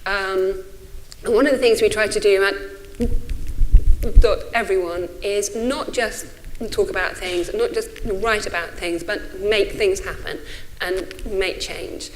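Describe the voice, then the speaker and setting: A woman's voice: mid-range voice, fairly even intonation, takes it slowly, a little hiss or hum, low-quality recording. A woman in her forties with a stutter, giving an informal, hesitant and self-effacing symposium talk. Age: 40s. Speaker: speaker, runs a think tank.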